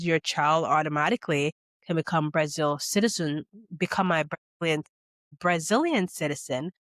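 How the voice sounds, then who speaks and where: A woman's voice: lowish voice, no room sound. A woman from Jamaica giving a casual, chatty explainer as a vlogger, often checking in with "okay?" and "you know". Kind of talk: casual talking-head explainer.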